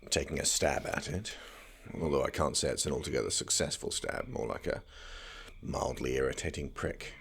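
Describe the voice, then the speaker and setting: A man acting in an audio drama as a young scholarly prince, speaking with dry, measured, formal wit. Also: a bass voice, gravelly, a bit bright, slight background noise, exaggerated voice. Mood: dry, reserved.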